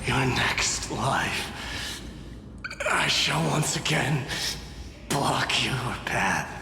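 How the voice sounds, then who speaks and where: A proud, warlike titan from a fantasy game, anguished and theatrical. He declaims very slowly with big swings in pitch. The voice rings in a heavy echo under loud music. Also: lively intonation, vocal fry, exaggerated voice. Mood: dying pride. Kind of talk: dramatic game voice acting.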